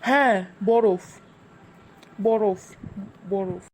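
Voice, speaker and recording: A good-natured, slow-witted cartoon uncle, played by a woman stumbles through riddles in a Bangladeshi Bengali accent, drawing out hesitant sounds. The voice sits at a middling pitch. A clear layer of noise runs under the recording. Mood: bumbling. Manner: hesitant, drawn-out thinking sounds. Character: easygoing bumbling middle-aged uncle. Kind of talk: cartoon dubbing. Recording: noisy home recording.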